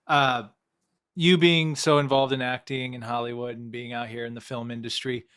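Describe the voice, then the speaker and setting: A man's voice: medium pitch, flat delivery, moderate pace, fairly bright tone, clean tone. Affirming male podcast host. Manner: affirming, enthusiastic interviewer. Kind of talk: casual interview chat.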